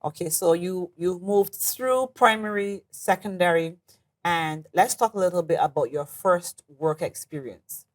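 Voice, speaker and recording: Barbadian female host, low register, measured studio interview delivery.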